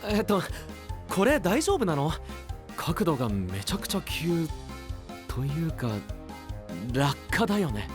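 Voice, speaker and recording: An exasperated young knight in an anime-style audio drama, boyish and brisk. His pitch swings freely as he delivers diligent, bossy retorts, with music playing behind him. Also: big pitch swings.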